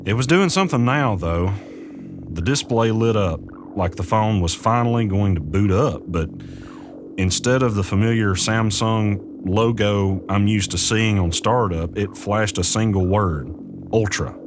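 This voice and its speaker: An actor playing an uneasy man who recounts eerie events in measured, suspenseful first-person audio drama narration. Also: on the low side, background noise, close to an everyday voice. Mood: tense recounting. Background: music.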